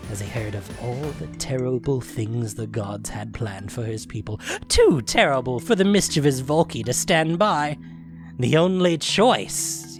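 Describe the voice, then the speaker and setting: A man voicing a gleefully wicked trickster devil who narrates a fantasy saga grandly and slyly, savoring words with dramatic pauses. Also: medium pitch.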